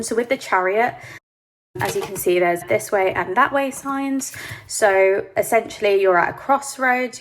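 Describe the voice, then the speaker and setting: A woman's voice: mid-range voice, poor audio. Sniffly woman giving a tarot reading. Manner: gentle, reassuring, addresses viewer directly. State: sniffly with hay fever. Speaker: tarot reader. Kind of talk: pick-a-card tarot reading.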